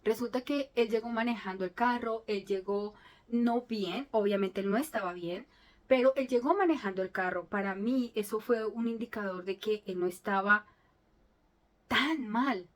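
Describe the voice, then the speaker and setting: A woman's voice: medium pitch, moderate pace. A middle-aged woman, a native Spanish speaker, telling a personal story in an earnest, emotional way, with frequent restarts. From Colombia. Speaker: vlogger telling her story.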